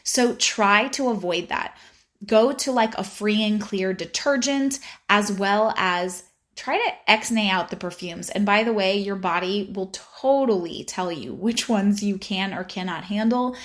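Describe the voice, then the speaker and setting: A woman's voice: mid-range voice, a little slow. Upbeat woman with a Spanish accent. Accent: Spanish-accented English. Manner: upbeat, playful asides while explaining. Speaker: podcast host. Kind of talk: informative podcast monologue.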